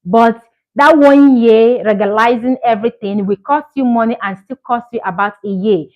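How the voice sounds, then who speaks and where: A woman's voice: fairly high pitch, moderate pace, highs cut off. A Nigerian woman in her thirties chatting earnestly to camera as a vlogger, encouraging and repeating herself for emphasis. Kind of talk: casual chat to camera.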